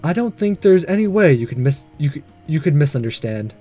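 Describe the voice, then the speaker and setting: Man reading as earnest, nervous lovestruck high schooler. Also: big pitch swings, fairly quick, dark tone, faint music behind. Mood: nervous, hesitant. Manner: hesitant, earnest. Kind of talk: reading visual novel dialogue aloud.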